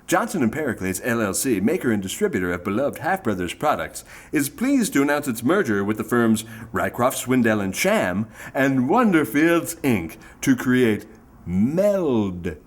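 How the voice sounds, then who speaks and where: A man's voice: medium pitch, big pitch swings, normal speed. A man playing a slick, grandiose old-time radio sponsor announcer reading a theatrical parody ad. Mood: smooth salesmanship. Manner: theatrical, salesmanlike, mock-earnest.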